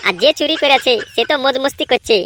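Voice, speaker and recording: A woman's voice: sits high, quick pace, background noise, close to an everyday voice. A woman voicing a cheeky, boastful young male troublemaker in a comedy cartoon, with a brash, cocky manner. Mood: gossipy. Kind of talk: cartoon voice acting.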